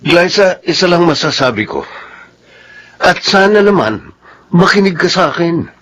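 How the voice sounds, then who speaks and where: A Filipino man with a very high voice, acting the preachy confidant in a radio drama. He hands out advice in an emphatic, sententious way. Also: big pitch swings, unhurried, fairly bright tone, exaggerated voice. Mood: admonishing.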